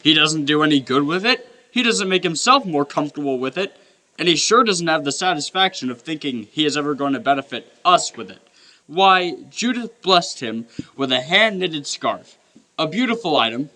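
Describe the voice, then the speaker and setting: An actor playing a good-humoured, forgiving nephew in a radio play. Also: male voice, a little high, animated, normal speed, pushed and bright. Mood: wry. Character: jovial, forgiving nephew who laughs easily. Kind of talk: live radio play acting.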